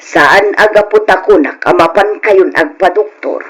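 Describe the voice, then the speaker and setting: A woman's voice: fairly low pitch, measured pace, husky, low-quality recording. A woman playing a concerned older mother in old-style radio drama, earnest and coaxing. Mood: concerned, pleading.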